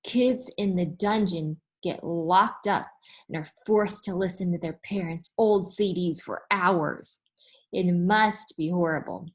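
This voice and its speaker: 低音调的女性故事朗读者. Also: female voice, fairly low pitch. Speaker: read-aloud storyteller. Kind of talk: expressive children's story narration.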